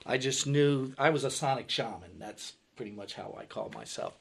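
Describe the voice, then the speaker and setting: A middle-aged man telling a personal story in casual talk, halting and searching for words at first, then growing animated. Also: medium pitch. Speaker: audience member.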